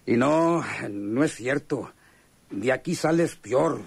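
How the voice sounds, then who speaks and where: An actor playing a brooding, unhinged killer in prison, with a rural Mexican accent, in a radionovela. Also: male voice, mid-range voice, expressive, slow pace, creaky, husky, heavily acted. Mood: weary confession. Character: brooding, unhinged male convict with a killer's urge. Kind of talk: radionovela acting.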